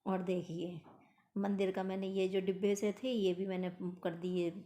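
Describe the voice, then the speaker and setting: A middle-aged woman with a hoarse, mellow voice narrates her day in a casual, homely way, speaking straight to her viewers. Her pitch stays flat and even. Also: moderate pace. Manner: chatty, homely, talks to viewers directly. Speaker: vlogger. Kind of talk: casual daily-routine narration.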